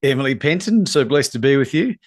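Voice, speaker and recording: A man's voice: medium pitch, expressive, a little fast. A man from New Zealand with a New Zealand accent, speaking as a coach in an interview with an emphatic, earnest motivational delivery. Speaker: guest, courage coach.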